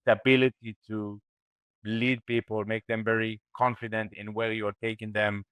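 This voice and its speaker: A man in his thirties from Israel speaks as a startup founder on a podcast, thinking aloud as he answers. His voice is low-pitched but bright and crisp, and the sound is dry and close. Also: flat delivery, normal speed, dry recording. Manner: thoughtful, reflective, with frequent restarts. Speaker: startup CEO guest. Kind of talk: podcast interview.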